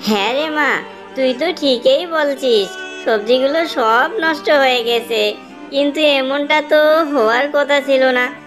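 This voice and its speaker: A woman voicing a kindly little female tailorbird in a cartoon, with an earnest, gentle manner. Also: high-pitched, takes it slowly, faint music behind. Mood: troubled. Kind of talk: cartoon character dialogue.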